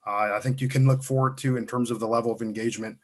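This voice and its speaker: A man with a mid-pitched voice facilitates a public meeting. He is polite and moves the agenda along, pausing often on filler words. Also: steady tone. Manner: polite, frequent uhs.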